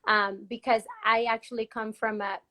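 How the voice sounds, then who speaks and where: A woman's voice: fairly high pitch, little pitch movement, moderate pace, sharp tone. A young woman from Peru, a guest in a casual video-call interview, chatty with lots of fillers and laughs. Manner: chatty, laughs often, many fillers and restarts.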